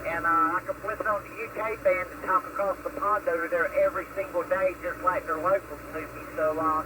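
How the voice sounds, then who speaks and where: Noisy CB radio signal, middle-aged man, high pitch, casual hobbyist chat, radio lingo. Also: steady tone, a little fast. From United States. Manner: uses radio lingo, repeats acknowledgements. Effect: CB radio transmission. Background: radio noise.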